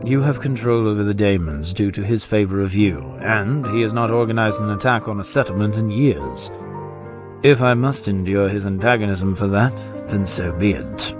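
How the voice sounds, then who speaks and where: A man plays a devoted, composed companion in an audio drama. His fairly low voice is formal, measured and earnest, with music clearly underneath. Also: close to an everyday voice. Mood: resolved.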